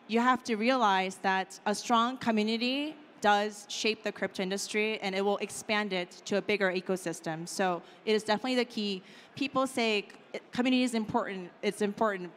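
A woman's voice: medium pitch, a bit bright. Woman, thirties, casual stage speaker, filler-heavy chatty delivery, handheld mic, reverberant hall. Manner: chatty, lots of "like" and "right?" fillers. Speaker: conference speaker. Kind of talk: casual conference talk. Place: conference stage in a large hall. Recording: hall reverb with faint room noise.

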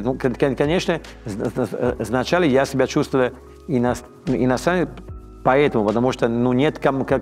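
A man in his fifties speaks Russian with a strong Italian accent, his voice rough with creak. He stumbles and restarts words as he hunts for the right phrase, in a quiet studio interview. Faint music sits under the talk. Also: mid-range voice. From Italy. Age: 50s. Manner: halting, frequent restarts and stammers, searching for words. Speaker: chef, interview guest. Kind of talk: casual interview conversation. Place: dark indoor studio set, seated in armchair.

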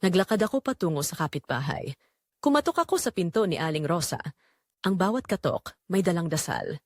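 Female storyteller for a romantic tragedy: emotive, sorrowful, highly melodic delivery, dry close sound. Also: very animated, a little fast, no room sound. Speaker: first-person story narrator. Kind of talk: dramatic scripted romance drama narration.

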